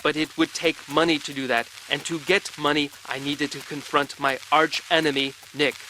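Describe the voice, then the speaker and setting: A grandiose, vengeful hero telling his own tale in a video game. His voice is fairly high and forceful, with florid theatrical phrasing. Faint rain sounds behind him. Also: fairly even intonation, normal speed, poor audio. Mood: grim, ominous. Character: melodramatic male storyteller hero, grimly vengeful, pompous. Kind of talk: dramatic scripted monologue in video game. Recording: rain and storm noise behind. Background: rain ambience.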